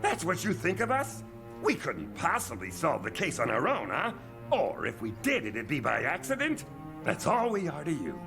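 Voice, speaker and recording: An actor playing a gruff crocodile detective with a gravelly voice and a sarcastic, blustering manner in an audio drama. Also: male voice, fairly high pitch, huge pitch swings, normal speed, exaggerated voice. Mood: indignant. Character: gruff, indignant male crocodile detective, big-hearted schemer. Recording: music behind the voice.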